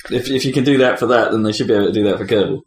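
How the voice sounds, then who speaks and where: Man, low voice, casual podcast host, halting and emphatic, roomy sound, faint noise. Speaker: podcast host presenting award categories.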